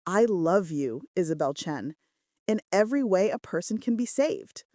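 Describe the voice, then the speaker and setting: A woman's voice: lowish voice, animated, normal speed, warm tone, dry recording, clean studio sound, lightly acted. A woman voicing an earnest, devoted male mafia boss in love in a romance audiobook. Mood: loving, solemn.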